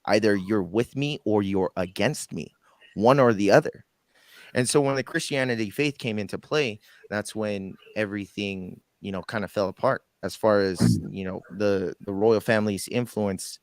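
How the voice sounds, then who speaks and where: A low-voiced man rambles through his point as a guest on a live online panel. His sound is dry and close, with no room around it, as if he is on a video call. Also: moderate pace, dry recording. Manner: rambling, apologetic.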